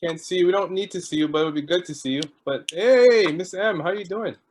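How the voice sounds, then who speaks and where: Guy hosting a zoom call, chatty and jokey, kinda high-ish voice, greets everyone. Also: brisk, slight background noise. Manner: chatty, joking, warm greetings to each arrival. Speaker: host. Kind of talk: casual chat on a video call. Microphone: video call.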